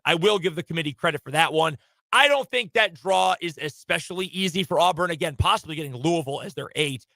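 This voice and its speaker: A man hosting sports talk radio, giving opinionated, conversational commentary in a hoarse voice from a studio booth. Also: fairly high pitch, bright, forceful tone, dry recording. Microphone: close studio microphone.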